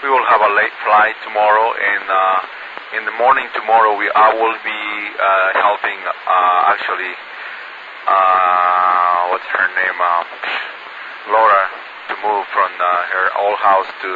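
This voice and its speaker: Brief: deep-voiced man, halting speech, muffled two-way radio link. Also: little pitch movement. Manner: halting, many pauses and restarts. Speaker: radio caller. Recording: lo-fi radio link with static hiss.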